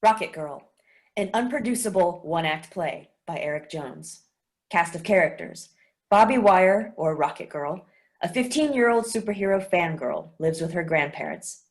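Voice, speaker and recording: A woman reading the stage directions for a staged play reading over a video call, in an even, clear reading style. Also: fairly low pitch, moderate pace.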